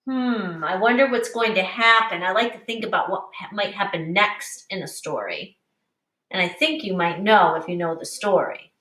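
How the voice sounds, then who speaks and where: A woman teacher reading a picture book aloud to young children in an expressive, instructive way, pausing to explain and addressing them directly. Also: mid-range voice. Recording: roomy, echoing, distant microphone.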